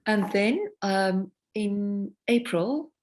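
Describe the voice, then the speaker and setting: A woman from South Africa whose first language is Afrikaans, speaking English with a South African accent. She is a singer-songwriter guest talking about her work in a reflective, unhurried way, often pausing to search for words. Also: medium pitch, darkish tone, a little hiss or hum. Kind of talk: casual interview conversation.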